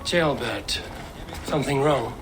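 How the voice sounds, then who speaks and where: An actor playing a blunt, resolute Viking raider in a video game, speaking in terse commands. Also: male voice, mid-range voice, slow, crowd noise, natural voice. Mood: stern, measured. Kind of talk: video game cutscene acting.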